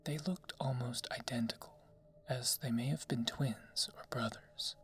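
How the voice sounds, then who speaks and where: A narrator reading a scary story in a hushed ASMR whisper, slow and deliberate. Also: medium pitch, steady tone. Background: background music.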